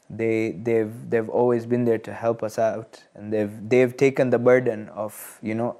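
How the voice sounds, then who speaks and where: A man in his early twenties from Tanzania, speaking as an interview guest in a measured, hesitant and earnest way. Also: fairly low pitch, warm tone. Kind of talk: studio interview, personal reflection. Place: studio. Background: plucked guitar music.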